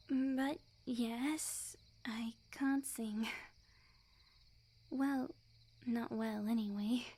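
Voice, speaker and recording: Woman playing a lovestruck yandere witch, ASMR roleplay, soft breathy half-whisper, mellow tone, fairly high pitch, teasing and possessive. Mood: mildly flustered, vain. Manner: soft breathy near-whisper, sweetly teasing with quiet menace. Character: sweet, possessive young witch, obsessively lovestruck and smug. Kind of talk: ASMR roleplay, intimate scripted monologue to the listener.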